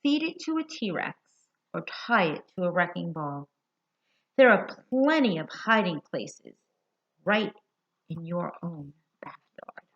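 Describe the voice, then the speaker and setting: An American woman reading a picture book aloud to young children, expressive and deliberate, putting questions to her listeners. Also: fairly low pitch. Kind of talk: children's read-aloud storytelling. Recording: dull lo-fi sound.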